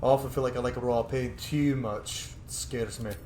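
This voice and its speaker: A man's voice: medium pitch, steady tone, moderate pace, a little mellow, echoey room, soft background music. A man hosting a gaming video, giving casual, joking let's play commentary with some roleplay. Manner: joking, offhand.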